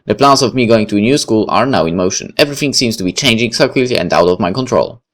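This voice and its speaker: A man's voice: on the low side, lively intonation, quick pace, fairly bright tone. A man reading a story game aloud and commenting on it as he plays. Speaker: gamer reading a visual novel aloud and commenting. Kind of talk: dramatic read-aloud of first-person fiction with casual asides.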